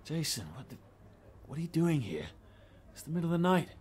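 Weary, sceptical doctor, acted by a man, audio drama, animated pitch. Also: a little fast, a little hiss or hum. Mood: exasperated. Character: weary, irritable male doctor, sceptical and casual.

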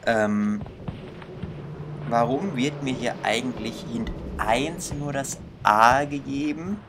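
Slow-talking young man doing puzzled game commentary. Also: medium pitch, noisy background. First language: German. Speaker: gaming video host. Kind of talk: casual let's-play commentary, thinking aloud. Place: indoor room at a computer. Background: game music.

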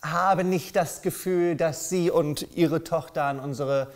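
A man's voice: fairly high pitch, steady tone, takes it slowly. A man in his thirties playing a formal, puzzled headmaster who probes politely and hesitantly in sketch comedy. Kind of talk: sketch comedy character acting. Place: classroom. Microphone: lapel.

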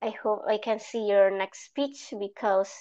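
Young indonesian lady on a video call giving speech feedback, slow and halting, kinda muffled lo-fi audio. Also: mid-range voice, mellow. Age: young adult. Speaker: speech evaluator. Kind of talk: spoken speech feedback at a club meeting.

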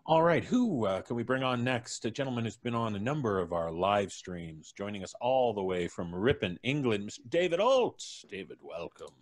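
A man's voice: on the low side, big pitch swings, moderate pace, few pauses. A man in his fifties hosting a live stream, introducing guests in a genial showman's manner. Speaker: host. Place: indoor room, on a video call. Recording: soft music playing behind. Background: background music.

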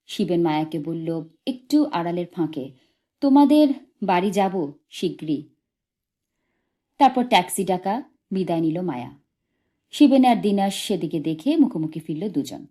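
A woman reads literary fiction as an audiobook narrator. Her voice sits a little low and is very soft-edged and mellow, and her scripted delivery is expressive storytelling. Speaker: audiobook reader.

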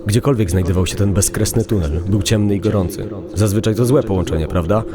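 A man narrating a darkly funny horror story in a dramatic, deadpan way. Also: fairly low pitch, brisk, a crowd in the background.

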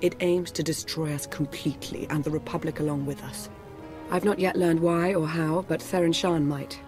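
A woman's voice: deep voice, fairly even intonation, close to an everyday voice. Actress as a composed imperial spy woman over music. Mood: cool, composed. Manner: measured, formal, understated. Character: cool, composed female intelligence operative of a dark empire. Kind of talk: scripted video game cutscene acting.

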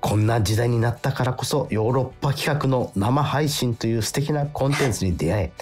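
A man's voice: mid-range voice, brisk, a little mellow, slightly rough. A man hosting a radio show, chatting casually and reading out listener letters in a jovial, emphatic way. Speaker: actor. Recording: music behind the talk. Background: background music.

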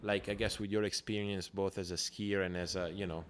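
A man with a very deep voice hosts a relaxed conversation, asking long, searching questions and prompting with quick acknowledgments. A faint hiss of noise sits behind the call recording. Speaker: podcast host, interviewer. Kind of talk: casual interview conversation.

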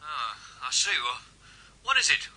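Sharp, shifty crook. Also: male voice, on the high side, sing-song, measured pace, full character voice. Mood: wary, defensive. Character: shifty, edgy small-time London crook on telephone. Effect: telephone filter. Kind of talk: British radio drama acting. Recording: thin telephone line with clicks, noise.

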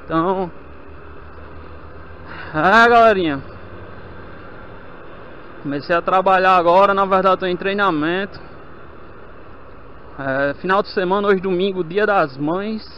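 A man's voice: sits high, unhurried, long pauses. Young male motovlogger, talks casually to viewers as he rides, dull helmet-mic sound with faint road noise. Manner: chatty, addresses viewers as family. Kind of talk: casual chat while riding. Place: on a motorcycle in city traffic, outdoors.

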